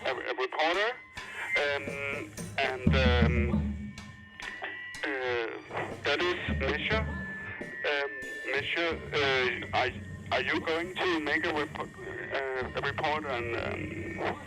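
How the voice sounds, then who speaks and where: A man hosting an improvised, playful radio show from a studio, speaking in a halting, hesitant way. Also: medium pitch, poor audio. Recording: loud music under the voice. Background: music.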